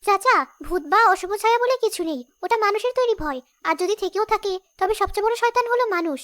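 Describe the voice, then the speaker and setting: A woman voicing a brave, sharp-witted young village girl in a cartoon story, assertive and reasoning. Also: very high voice, expressive, quick pace. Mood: confident, urgent.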